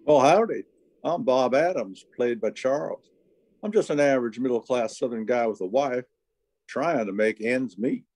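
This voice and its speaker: Man playing a folksy Southern radio pitchman. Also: animated, normal speed, a little mellow, natural voice. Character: folksy average middle-class Southern husband in radio ad. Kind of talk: amateur old-time radio commercial.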